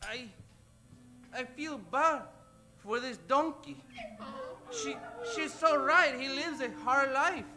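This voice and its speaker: Tiny high little boy voice, slow n halting, sweet and earnest, radio comedy. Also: exaggerated voice. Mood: pitying. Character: tender-hearted little scorpion boy, timid and pitying. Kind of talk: comedic old-time radio acting.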